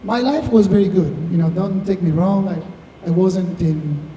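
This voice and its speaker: A man in his fifties with an Argentinian accent and Spanish as his first language, speaking haltingly and reflectively as he answers questions at a live event. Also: sits high, mellow. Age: 50s. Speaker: tech founder, guest speaker. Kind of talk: live Q&A, anecdotal talk. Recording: distant echoey hall, faint noise.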